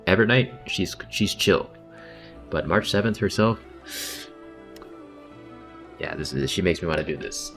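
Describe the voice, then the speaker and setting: Low-voiced man, wry gaming streamer. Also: lively intonation, measured pace, pauses often, fairly bright tone, faint music behind. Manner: slangy, wry, offhand running commentary. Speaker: livestreamer. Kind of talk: casual gaming stream commentary.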